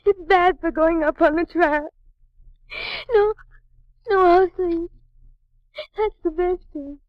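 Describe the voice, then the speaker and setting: A very high, fragile voice of a young circus trapeze performer, close and dry in the way of a 1940s radio play. She sounds breathy and distraught, pleading to be left alone to sleep. The old transcription comes through dull and muffled. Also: slow pace, dry recording. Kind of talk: 1940s radio drama acting.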